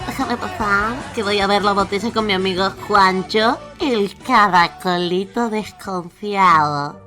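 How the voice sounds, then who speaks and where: Woman playing a slow-talking, tipsy, bubbly Argentine slug. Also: medium pitch, sharp tone, soft background music. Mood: carefree, coaxing. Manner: teasing, bubbly, pushy. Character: tipsy, cheerful, carefree female slug who loves partying. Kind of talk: radio fable acting with music bed.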